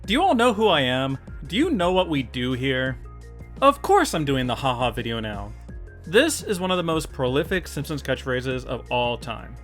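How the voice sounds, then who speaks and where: Man, lively swinging pitch, casual jokey video narration, emphatic, mock-exasperated, music underneath. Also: normal speed. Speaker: video host, YouTuber. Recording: music behind the voice. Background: background music.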